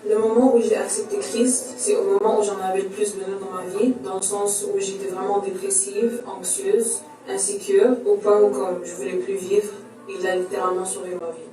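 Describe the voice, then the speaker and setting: A woman's voice: mid-range voice, little pitch movement, moderate pace, music in the background. An eighteen-year-old young woman sharing a personal testimony in an earnest way. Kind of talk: recorded youth testimony. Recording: very echoey room, from far off.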